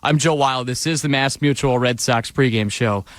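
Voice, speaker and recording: A man hosting a radio pregame show from the studio, moving from somber remarks on sad news to brisk sponsor reads. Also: medium pitch, no room sound. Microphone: studio microphone.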